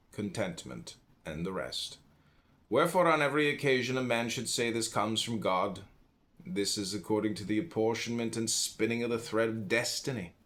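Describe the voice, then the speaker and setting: Low-voiced man reading philosophy. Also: fairly bright tone. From United States. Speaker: audiobook reader. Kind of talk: reading an old philosophy book aloud.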